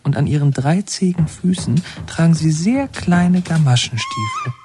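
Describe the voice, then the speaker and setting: An actor playing a reflective narrator who recalls a northern childhood in a measured, evocative radio drama manner. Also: male voice, a little high, huge pitch swings, heavy background noise. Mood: wondering, wry. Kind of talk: literary radio drama narration, present tense. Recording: music under the voice. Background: music.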